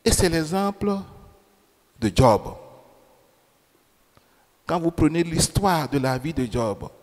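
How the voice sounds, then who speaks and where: A man preaches slowly and deliberately, his voice sitting a little higher than most men's. French is not his first language, and he keeps repeating key phrases and asking the congregation questions. His words ring out with a long reverb in a church. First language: another language than French. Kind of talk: sermon. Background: long hall reverb.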